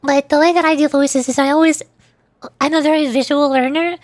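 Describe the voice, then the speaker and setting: High-pitched, playful woman streamer. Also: fairly even intonation, a little fast, no room sound. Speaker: streamer and voice performer. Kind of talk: casual livestream chat, answering viewers.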